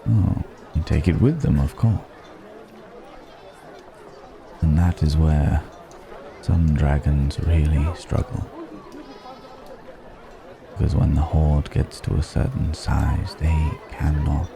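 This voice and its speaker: Man playing a very deep, gravelly ancient dragon stranger. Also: heavily acted. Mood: grave, guarded. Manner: musing, archaic formal phrasing, unhurried hums. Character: wry, curious ancient dragon passing as male stranger. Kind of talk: ASMR roleplay audio drama.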